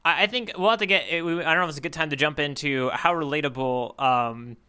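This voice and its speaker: A man's voice: on the high side, quick pace, sharp tone, clean tone. A man co-hosting a podcast in casual chat, rambling and hedging as he thinks his points through aloud. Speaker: podcast co-host. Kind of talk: casual chat, manga readalong discussion.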